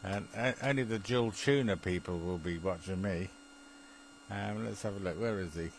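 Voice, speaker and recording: A man's voice: low-pitched, moderate pace, a little hiss or hum, poor audio. A British man in a rambling, chuckly casual chat, often muttering to himself as he operates an amateur television link.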